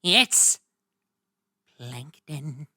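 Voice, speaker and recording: A man's voice: on the high side, animated, very slow, raised voice, heavy background noise. A man voicing a cheerful, naive young male cartoon sponge who talks in an eager, sing-song way, in a comic fan dub. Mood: spooky-playful.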